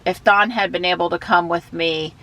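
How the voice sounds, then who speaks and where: A woman vlogging from behind the wheel of her car, chatting and thinking out loud. She has a stuffy nose from allergies. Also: lowish voice, steady tone, moderate pace, poor audio. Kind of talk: casual thinking aloud while driving. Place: inside a car while driving.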